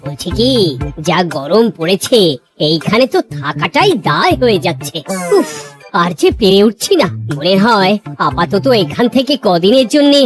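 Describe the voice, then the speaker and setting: A performer voicing a fussy, easily exasperated king with an exclamatory manner in an animated cartoon. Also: medium pitch, normal speed, over music, close to an everyday voice. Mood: weary.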